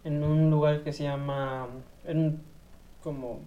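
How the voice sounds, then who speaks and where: A woman's voice: low voice, level tone, takes it slowly, phone-quality sound. A young woman with a Mexican accent, a podcast guest who talks in a casual, joking way with crude slang.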